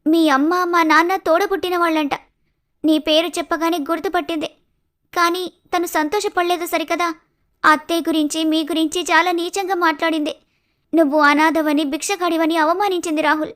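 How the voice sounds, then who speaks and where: Woman voicing a high-pitched, distressed young sweetheart. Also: flat delivery, moderate pace, a bit bright. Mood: tearful, anxious. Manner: pleading, plaintive. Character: distressed young woman in love, fearful daughter.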